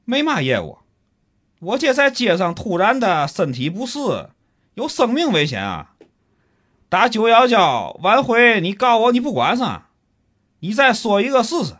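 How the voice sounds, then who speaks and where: Male actor as pushy stranded commuter: Tianjin accent, high lively voice, complaining and threatening, comic radio play. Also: unhurried, heavily acted. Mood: indignant, demanding. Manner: complaining, insistent, colloquial. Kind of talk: comic radio drama acting.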